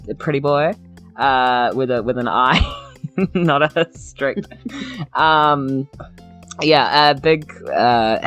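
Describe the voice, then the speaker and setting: Kiwi woman playing a tabletop game, really low voice, slow talker, faint music. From New Zealand. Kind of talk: casual in-character game chat.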